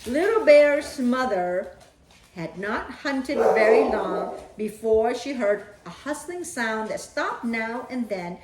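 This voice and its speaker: A woman reading and talking to children as a storyteller, with deliberate, emphatic phrasing. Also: a little high, lively intonation, crowd noise. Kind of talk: children's read-aloud storytelling. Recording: echoey, roomy sound.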